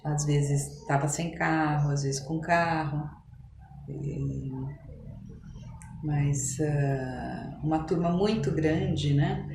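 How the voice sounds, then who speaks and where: A woman's voice: sits low, extremely slow, vocal fry, noisy background. An older woman with a São Paulo Brazilian accent, telling her life story conversationally as an interview guest. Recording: roomy echo, noticeable background ambience.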